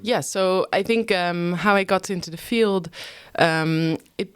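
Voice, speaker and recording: A woman's voice: lowish voice, measured pace, clear, resonant tone. A Dutch-native woman in her thirties, a researcher answering interview questions in English, thoughtful and full of ums. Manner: thoughtful, many ums, careful explaining. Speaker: guest researcher.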